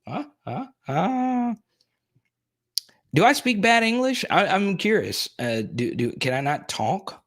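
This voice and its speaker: A man's voice: sits high, sing-song, slow, a bit bright. A young man hosting a sports live stream, rambling casually while reading and answering viewers' chat comments in his plain, everyday voice.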